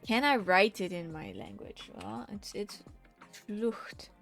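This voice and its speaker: Brief: woman streamer, mid-pitched, murmurs and half-whispers over her drawing, faint music behind. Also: slow, vocal fry. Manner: soft, murmuring to herself, often half-whispered; chatty, reacting to chat with laughs. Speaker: streamer painting live. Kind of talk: casual chat while drawing.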